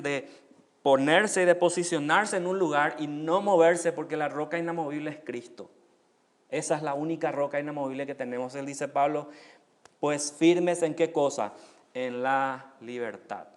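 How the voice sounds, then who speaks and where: A man from Paraguay with a Paraguayan Spanish accent preaching a sermon. His voice sits a little high for a man. He keeps asking rhetorical questions and lifts the key phrases with force. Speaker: pastor.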